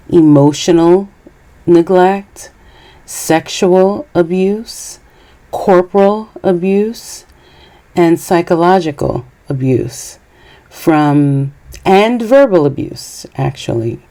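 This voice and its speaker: A woman podcast host, born in Haiti and raised in New York, giving a measured, earnest personal monologue. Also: low-pitched, mellow.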